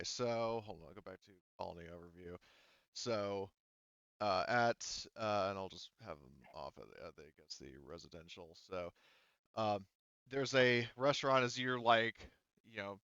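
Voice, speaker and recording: Low, bright-voiced male game master. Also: normal speed, clean tone, no room sound, dull audio. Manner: improvised, loosely phrased. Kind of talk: casual tabletop roleplaying narration.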